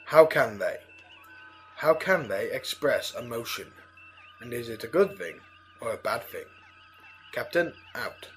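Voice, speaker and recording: An earnest starship captain played by a man with a mid-pitched voice. He speaks in a measured, commanding way in a sci-fi audio drama, with faint music underneath. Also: lively intonation, natural voice. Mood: brooding.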